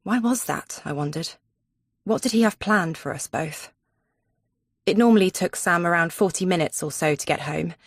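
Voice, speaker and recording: A woman's voice: fairly low pitch, moderate pace, no room sound, clean studio sound. A woman narrating a suspense audiobook in the first person.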